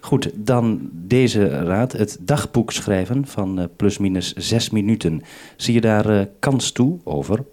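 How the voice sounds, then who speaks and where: A man's voice: lowish voice, lively intonation, slow pace, warm tone. A Dutch man working as a radio programme maker, giving methodical, instructive briefings over a two-way radio link.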